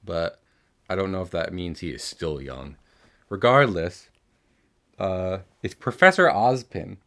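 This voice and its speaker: Casting: young male podcast host with a low voice, chatty and rambling, loose with swearing. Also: lively intonation, a little slow, fairly bright tone. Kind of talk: casual chatty recap of an animated series.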